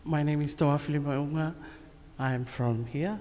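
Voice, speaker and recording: A man's voice: a little high, fairly even intonation, unhurried, dark tone. A man, a teacher briefly introducing himself to a meeting. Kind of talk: short self-introduction to a meeting. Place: community hall. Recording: echoey hall, background noise.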